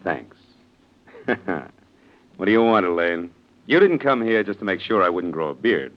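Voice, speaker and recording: An actor playing a defiant, suspicious, sardonic son of a rich family in a 1940s radio mystery. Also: male voice, sits low, animated, normal speed. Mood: wary, sardonic. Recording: muffled vintage radio recording.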